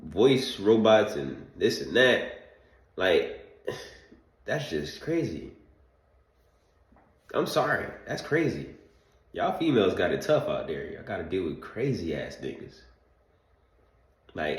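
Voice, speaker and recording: A man's voice: sits low, echoey room. A man giving casual, slangy reaction commentary, swearing and sounding incredulous. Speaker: reaction video host.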